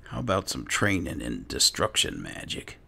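A man's voice: rumbling low, huge pitch swings, moderate pace, vocal fry, sharp tone, husky. A man doing in-character roleplay commentary over a video game, often muttering dryly to himself. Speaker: gamer roleplaying as an alchemist.

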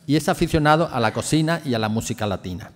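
Guy introducing the speaker, reads a bio with asides, mid-pitched, echoey hall. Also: animated, quick pace. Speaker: presenter of the speaker. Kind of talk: formal introduction. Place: lecture hall with audience. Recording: hall reverb from a lecture room.

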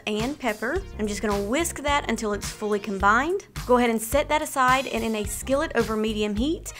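A woman in her thirties hosting a cooking channel, walking through meal prep in clear step-by-step voice-over. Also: fairly high pitch, fairly bright tone. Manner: clear step-by-step instructional delivery. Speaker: host of a healthy cooking channel. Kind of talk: upbeat recipe walkthrough with voice-over.

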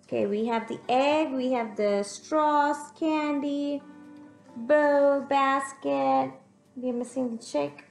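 A young woman reading a picture book aloud to young children in an expressive, teacherly way, pausing to put questions to them. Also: high-pitched, creaky. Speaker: children's storyteller. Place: room at home, indoors. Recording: echoey room, music in the background.

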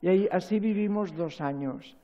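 An older man seated on a stage giving a rambling, emotional talk at a spirituality forum, full of halting restarts. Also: sits high, dark tone, highs cut off. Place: large stage, seated on a chair.

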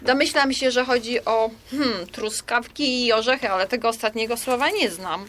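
An actress in a radio drama playing a modest, self-doubting Polish pensioner around sixty, speaking in a hesitant, demurring, conversational way. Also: female voice, a little high, moderate pace, fairly bright tone, a little hiss or hum, lightly acted. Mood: curious, disapproving.

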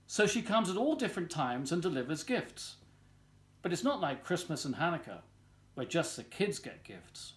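An older man in his sixties reads a children's picture book aloud. His voice sits a little high for a man and moves up and down in a lively way. He tells the story expressively and deliberately, recorded at home in a living room. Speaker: volunteer story reader.

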